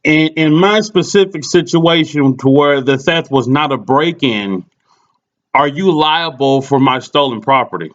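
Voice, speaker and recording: A man's voice: a little high, unhurried. A man making a customer complaint by phone, insistent but polite, often stammering and repeating his words. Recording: muffled lo-fi recorded phone call.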